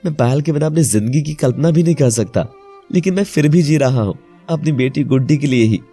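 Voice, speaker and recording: A man's voice: a little high, brisk, mellow, slightly rough, faint music behind. A man voicing a devoted, emotional young husband and father, earnest and pleading, in a dramatised story. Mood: grief, helpless. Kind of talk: dramatised Hindi moral story acting.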